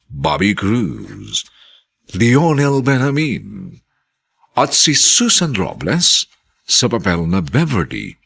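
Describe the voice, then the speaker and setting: A male radio drama announcer delivers scripted narration in a low, husky, gravelly voice. He takes his time, with huge dramatic swings in intonation. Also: fairly bright tone.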